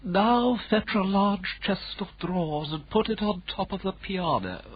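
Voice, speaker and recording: A woman voicing a stately male butler who speaks only in a soft hushed whisper. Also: mid-range voice, very animated, slow pace, slightly rough, highs cut off, heavily acted. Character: stately old butler man, never raises his voice.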